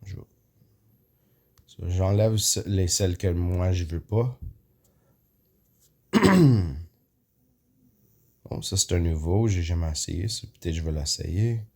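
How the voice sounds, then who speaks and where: A man whose first language is English and who has an English Canadian accent. He walks viewers through an app in a casual tutorial, hesitant, full of fillers, clearing his throat often. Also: deep voice, slow pace, long pauses, a little mellow. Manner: hesitant, many fillers, mixes in English words. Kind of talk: casual screen walkthrough.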